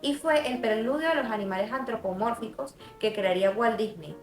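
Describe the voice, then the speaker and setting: High-pitched young woman in a roomy space. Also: a little fast. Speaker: student narrator. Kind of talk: scripted documentary narration. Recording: roomy voice-over with music bed.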